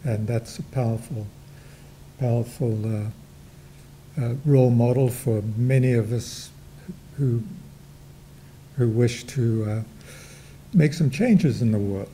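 An older man with a New Zealand accent, moderating a gallery panel discussion in slow, reflective remarks with touches of dry humor. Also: mid-range voice, warm tone, slight background noise, rough recording. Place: gallery room with a panel table.

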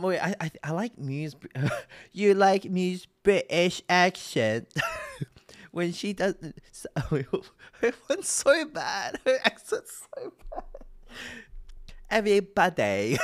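A man's voice: high voice, dry recording, studio quality. A young Australian man streaming from a small room into a desk mic, reacting casually to music videos with a lot of laughing and repeated, rambling words.

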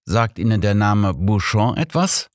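Male narrator as elderly gentleman detective, formal, brisk, commanding, audiobook character, dry sound. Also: on the low side, animated, no room sound, pitched above the performer's own voice. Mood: formal, inquiring. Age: older.

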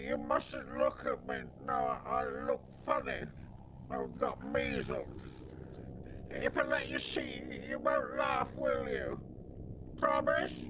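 Squeaky electronically modulated puppet creature. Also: male voice, fairly even intonation, takes it slowly, pauses often, heavy background noise. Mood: bashful. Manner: stammering, whiny, blustering. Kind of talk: children's TV puppet comedy.

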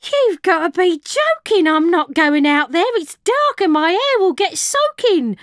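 A woman plays a sassy storybook barmaid. Her voice is high and animated, wary and indignant. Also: unhurried, no room sound, clean studio sound, full character voice. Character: sassy, suspicious tavern barmaid.

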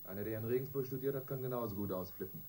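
An actor playing a matter-of-fact police investigator in a radio crime drama, reporting in a measured, factual way. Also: male voice, mid-range voice, mellow, slight background noise, lightly acted.

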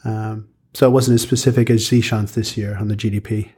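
Husky-voiced easygoing man. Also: lowish voice, little pitch movement, fairly quick, dark tone. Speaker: regular co-host. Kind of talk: casual chat. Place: small office room indoors.

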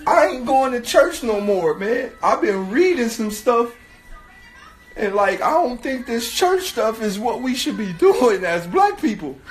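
A man in his thirties from with a hoarse voice, talking earnestly and repetitively into a phone held at arm's length in a small room. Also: very high voice. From Watts, California. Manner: earnest, repetitive, building to raised voice. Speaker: vlogger. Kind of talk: casual selfie monologue.

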